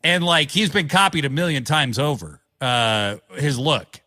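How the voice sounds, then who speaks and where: A man's voice: a little high, unhurried, pushed and bright. A man in his forties co-hosting a podcast, talking bluntly and wryly, with plenty of swearing. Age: 40s. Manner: blunt, profane, wry. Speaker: podcast co-host. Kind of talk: casual chat.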